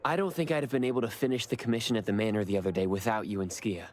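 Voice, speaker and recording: A man's voice: on the low side, steady tone, racing, a little hiss or hum, natural voice. A man playing an earnest, reserved young man in an anime game, speaking sincerely and with understatement. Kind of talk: anime game voice acting, conversational.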